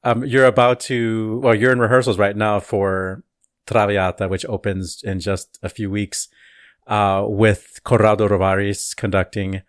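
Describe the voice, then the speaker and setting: A man's voice: on the low side, little pitch movement, a little slow, no room sound, studio quality. A man hosting an interview, asking long, rambling, enthusiastic questions in a casual chat. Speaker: podcast host.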